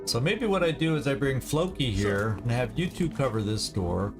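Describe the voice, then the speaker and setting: A man giving casual commentary on a strategy game, thinking aloud in a deliberate way as he plays. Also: medium pitch, lively intonation. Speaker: gaming video host. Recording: game music behind the voice. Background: video game music.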